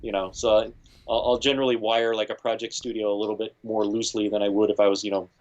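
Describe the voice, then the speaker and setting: A man, a guest audio engineer, giving relaxed advice in an interview and filling his speech with "you know" and "like". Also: on the low side, fast talker, highs cut off. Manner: laid-back, lots of "you know" and "like". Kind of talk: casual interview talk.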